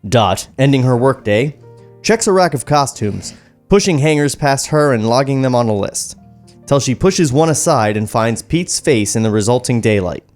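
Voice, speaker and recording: A man's voice: mid-range voice, animated, normal speed. A man reading screenplay stage directions at a podcast table read, with joking asides. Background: background music.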